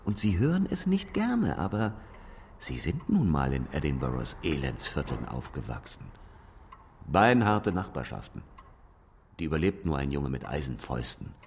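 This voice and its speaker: Deep-voiced, relentless male interrogator. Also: sing-song, normal speed, a little hiss or hum, heavily acted. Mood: sardonic. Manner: accusing, sardonic, insistent. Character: hard, relentless male interrogator confronting an aged knighted author. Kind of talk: German radio drama acting. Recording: long reverb with music behind.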